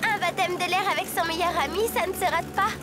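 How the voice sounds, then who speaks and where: Very high, romantic cartoon schoolgirl. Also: a little fast, heavy background noise. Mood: admiring. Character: romantic, self-assured schoolgirl, a know-it-all. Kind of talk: animated cartoon dialogue.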